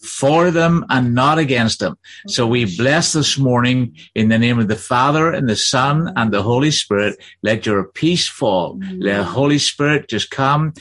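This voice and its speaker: A man opening a church livestream with announcements, then praying slowly and fervently. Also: mid-range voice, a bit bright. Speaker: church leader leading prayer.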